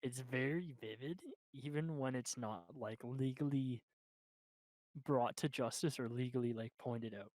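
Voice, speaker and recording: A man's voice: medium pitch, unhurried, a little mellow, dry recording. An eighteen-year-old man from Canada, co-hosting a podcast with hesitant, agreeable casual chat. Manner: agreeable, short replies, hesitant. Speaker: podcast co-host.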